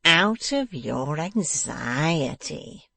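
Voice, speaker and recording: Man playing malicious dwarf, storybook villain, domineering, taunting, slow emphatic menace, dry close sound. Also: fairly high pitch, expressive, vocal fry, a bit bright, dry recording, heavily acted. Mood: mocking, menacing. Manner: menacing, emphatic, drawn-out delivery.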